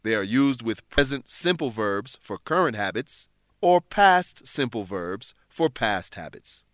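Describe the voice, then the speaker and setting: A man presents a scripted English lesson in a deliberate, instructive manner. His mid-pitched voice moves up and down in a lively way, with a very dry sound. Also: no room sound. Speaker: lesson narrator, English teacher. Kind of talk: scripted educational narration.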